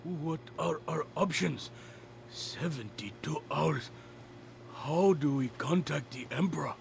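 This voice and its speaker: An actor playing an anxious government official in a video game, earnest and formal under threat. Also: male voice, fairly high pitch, animated, slow pace, vocal fry, a little hiss or hum, exaggerated voice. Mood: worried, disbelieving. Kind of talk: dramatic game cutscene acting.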